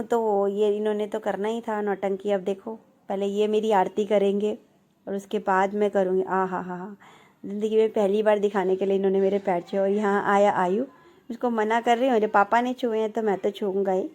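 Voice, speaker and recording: A woman in her thirties from India talks over her home vlog in a relaxed, chatty voice-over, teasing herself now and then. Her voice is warm and mellow at a middling pitch. A slight hoarseness sits in her throat throughout. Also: normal speed. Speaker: vlogger. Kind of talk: casual voice-over narration.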